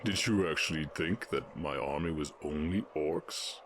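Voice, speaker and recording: A man voicing a stern, imposing orc warchief in a fantasy audio roleplay, speaking in deliberate, imperious proclamations. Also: rumbling low, fairly bright tone, slight background noise. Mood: calm, persuasive.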